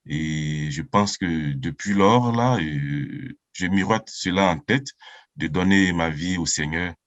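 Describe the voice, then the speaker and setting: A man in his forties from Côte d'Ivoire giving an earnest, halting personal testimony as a baptism candidate. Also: deep voice, no room sound, dull audio. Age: 40s.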